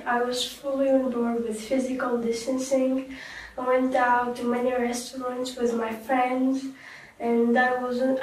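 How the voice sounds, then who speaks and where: A woman playing a remorseful young survivor, slow and echoey. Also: high voice, darkish tone, a little hiss or hum. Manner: slow, halting, with restarts. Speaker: guest in a staged talk show. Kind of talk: scripted role-play interview. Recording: echoey room, far from mic.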